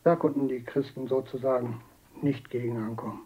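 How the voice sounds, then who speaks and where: An actor playing an elderly butcher giving blunt, matter-of-fact eyewitness testimony in a documentary radio drama, with a husky voice. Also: male voice, medium pitch, steady tone, fairly quick, dark tone, lo-fi sound, natural voice.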